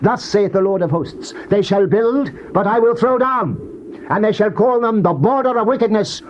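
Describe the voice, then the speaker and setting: High-voiced man declaiming scripture, dull tape. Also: darkish tone. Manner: solemn, declamatory, theatrical. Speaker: scripture narrator. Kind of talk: dramatized King James Bible reading. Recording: dull, noisy old cassette tape.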